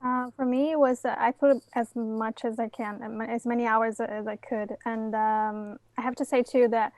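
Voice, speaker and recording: Brazilian woman, portuguese accent, halting interview answers, kinda high voice. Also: level tone, a little mellow, dry recording. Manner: hesitant, many fillers and restarts. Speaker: guest, bootcamp graduate.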